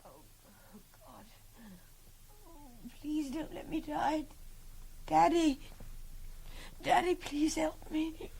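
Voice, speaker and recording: Young captive woman, radio drama, earnest, emotionally direct, breathy, creaky, high-pitched. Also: fairly even intonation, extremely slow, long pauses, warm tone, heavily acted. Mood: desperate, gasping. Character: captive young woman, articulate, pleading, frustrated. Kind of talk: intimate radio drama dialogue.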